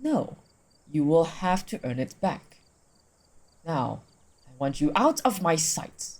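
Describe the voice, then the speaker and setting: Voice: mid-range voice, sing-song, slow pace, heavily acted. A streamer voicing a grieving, wrathful harvest goddess in a visual novel, dramatic and stern. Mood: taunting, commanding.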